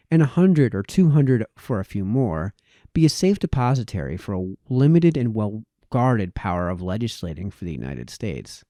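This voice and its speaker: A man reading formal historical prose aloud in a measured way, letting his phrases fall away at the ends of sentences. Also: on the low side, warm tone, no echo, studio quality. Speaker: reader of historical political essays.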